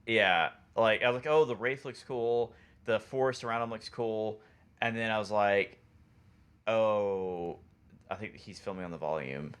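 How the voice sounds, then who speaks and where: Male co-host for a conversational podcast, on the low side in pitch. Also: a little slow, a bit bright, smooth. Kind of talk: casual chat.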